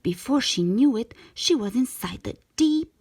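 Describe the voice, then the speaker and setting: Female storybook narrator for young children, slow and lively, with a slightly breathy edge. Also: a little high. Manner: expressive, measured storytelling for children. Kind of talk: scripted storybook narration, read aloud.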